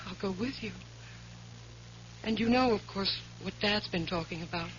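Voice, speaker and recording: A woman's voice: medium pitch, moderate pace, close to an everyday voice. An actress playing a direct, ambitious fiancée in her twenties, assertive and intimate, in an old-time radio mystery. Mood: tender, careful. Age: 20s. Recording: dull vintage radio recording with hum.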